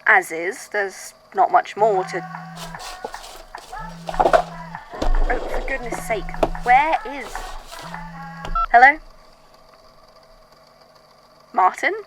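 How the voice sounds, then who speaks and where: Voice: mid-range voice, animated, slow pace, music in the background, rough recording. A performer playing a weary, haunted archivist, speaking in a hesitant, introspective, conversational way in an audio drama monologue. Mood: musing, distracted. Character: weary, haunted archivist recording statements of uncanny events. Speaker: voice actor in a fan fiction audio drama.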